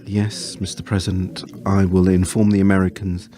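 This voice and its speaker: An actor playing a grave, formal government official in a time of crisis in a radio play. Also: male voice, low-pitched, little pitch movement, dark tone, lightly acted. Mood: grave, resigned. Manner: formal, measured.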